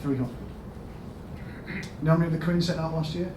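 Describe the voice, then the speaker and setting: A man with a Yorkshire accent giving a storytelling conference talk as a health service chief executive, asking the audience questions and rising to loud emphatic bursts. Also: fairly high pitch, fairly even intonation, brisk. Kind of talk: storytelling keynote speech. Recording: echoey hall, mic at a distance, background noise.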